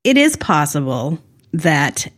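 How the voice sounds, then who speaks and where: A woman's voice: deep voice, sing-song, takes it slowly. A woman co-hosting a casual TV recap podcast, talking in a wry, snarky way. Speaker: podcast co-host.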